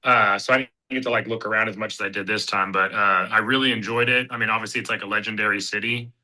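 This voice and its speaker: A man's voice: on the low side, fairly even intonation, fast talker, pushed and bright, poor audio. A man in his thirties from Kansas City, a fighter chatting casually as an interview guest, rambling with fillers and restarts. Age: 30s. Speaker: guest, bare-knuckle fighter.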